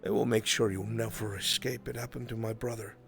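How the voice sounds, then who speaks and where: A man in live roleplay voicing a grim, pleading man bent on revenge, speaking in solemn, deliberate, formal phrases. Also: lowish voice, steady tone, vocal fry. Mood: grim, haunted. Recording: music under the voice. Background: music.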